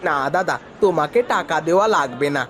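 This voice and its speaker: A man voicing a mild but stubborn, honest village farmer in an animated moral tale. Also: fairly high pitch, brisk, a little hiss or hum, lightly acted.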